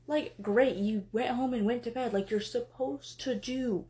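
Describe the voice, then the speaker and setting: A woman voicing an exasperated goddess who scolds her husband, swinging between sharp outbursts and weary pleading. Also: fairly high pitch, measured pace, a little hiss or hum. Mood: incredulous. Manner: scolding, then pleading and tearful. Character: exasperated, emotional goddess wife.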